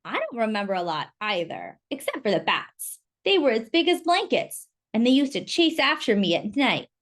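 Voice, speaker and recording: A woman's voice: fairly high pitch, very animated, normal speed. A woman voicing a helpful older girl cousin in a storybook, friendly and reassuring.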